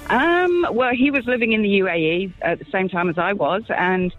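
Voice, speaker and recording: A man from the UK calling in to a radio show, chatty and relaxed. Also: high-pitched, normal speed, highs cut off, soft background music. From United Kingdom. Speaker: caller. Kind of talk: phone call to a radio show.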